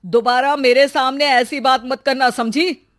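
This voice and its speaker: A man's voice: extremely high pitch, fairly even intonation, a little slow, a bit bright. Man playing a bossy pious village husband. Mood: stern.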